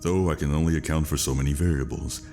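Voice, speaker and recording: A man's voice: extremely low pitch, steady tone, quick pace, music in the background. A man acting a composed military operative under questioning, precise and formal in his words. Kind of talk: screen drama acting.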